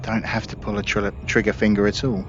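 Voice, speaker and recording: A man hosting a gaming video with casual, relaxed, explanatory commentary. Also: lowish voice, a little fast. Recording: slightly dull audio, faint game noise.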